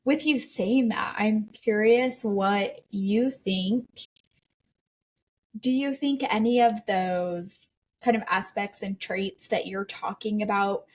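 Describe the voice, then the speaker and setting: A woman hosts a casual podcast interview. She asks questions thoughtfully at a very slow, easy pace, using filler words along the way. Her pitch is typical for a woman. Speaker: podcast host.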